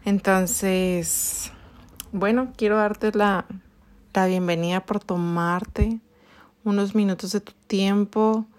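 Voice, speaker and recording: A Mexican woman in her late twenties hosting a personal podcast, telling a story slowly with many pauses, restarts and fillers. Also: mid-range voice, steady tone, a little mellow. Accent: Mexican Spanish. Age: 20s. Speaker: podcast host.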